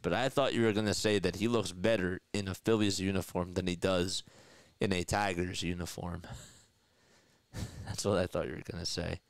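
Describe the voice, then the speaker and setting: A man's voice: fairly low pitch, smooth, dry recording. Philly-accented man calling baseball on a headset. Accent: Philadelphia. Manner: chatty, laughs often, reads viewer comments aloud. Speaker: play-by-play livestream host. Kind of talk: live baseball commentary with chat reading. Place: small indoor room in front of a desk setup. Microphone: headset with boom mic.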